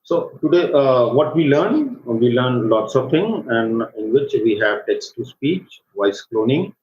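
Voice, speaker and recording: A man with an Indian accent gives an informal live software tutorial as an online instructor, speaking in a halting, hesitant way. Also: mid-range voice, sing-song. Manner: halting, hesitant delivery with repeated check words. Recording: roomy echo, dull lo-fi microphone, faint noise.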